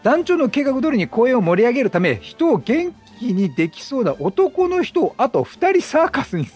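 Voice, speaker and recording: A man's voice: extremely high pitch, sing-song, moderate pace, darkish tone, breathy edge, soft background music, pitched above the performer's own voice, full character voice. A man voicing an eager, polite young rookie circus member from a video game, with earnest, deferential talk. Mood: grateful, eager. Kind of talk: game dialogue read aloud by a player.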